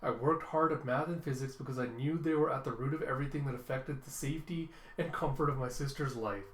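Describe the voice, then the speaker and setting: A man voicing a proud, excitable young secret policeman and tutor from a cartoon, condescending and theatrical in manner. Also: medium pitch, quick pace, close to an everyday voice. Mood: earnest, lecturing. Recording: roomy, echoing room sound.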